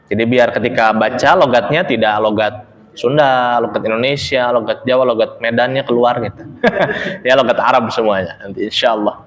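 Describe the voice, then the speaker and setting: A young man teaching an Arabic lesson, chatty and relaxed, laughing often and reading phrases slowly for students to repeat. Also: mid-range voice. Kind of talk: casual online Arabic lesson, reading aloud for repetition. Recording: long reverberant echo.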